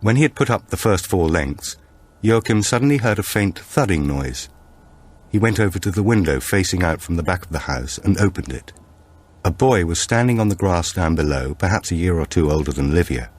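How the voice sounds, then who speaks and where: Deep-voiced man narrating a thriller. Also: lively intonation, brisk, a little mellow. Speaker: audiobook narrator. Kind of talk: scripted dramatic narration of a thriller.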